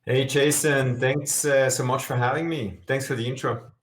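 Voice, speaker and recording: A man's voice: medium pitch, fairly even intonation, normal speed, echoey room, a little hiss or hum. A middle-aged man from Switzerland, a guest explaining his work in an interview with eager, filler-dotted answers. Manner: enthusiastic, explanatory, with frequent "uh" fillers. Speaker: guest, SEO expert.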